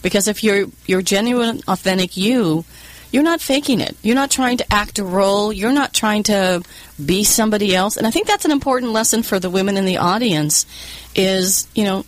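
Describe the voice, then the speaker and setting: A woman with a Southern accent hosting an interview, chatty and animated, often laughing and echoing her guest's words. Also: mid-range voice. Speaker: host. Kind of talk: conversational interview.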